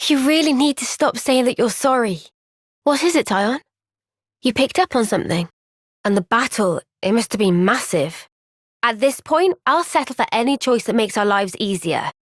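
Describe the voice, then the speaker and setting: An actress playing an earnest, gentle but firm young woman fighter in a video game, speaking in a measured, sincere way. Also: a little high, expressive, dry recording, studio quality. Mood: pensive. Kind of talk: video game cutscene voice acting.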